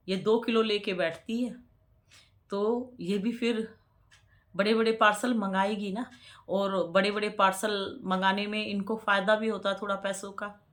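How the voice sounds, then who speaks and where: A woman in her forties talking casually to her subscribers, chatty and preachy, often repeating words for emphasis. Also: medium pitch. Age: 40s. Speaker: vlogger.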